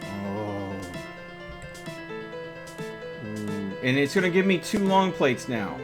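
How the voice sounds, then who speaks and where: A man's voice: lowish voice, big pitch swings, a little slow. A man doing casual live gaming commentary as a streamer, talking himself through each step with exclamations. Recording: music behind the voice. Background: music.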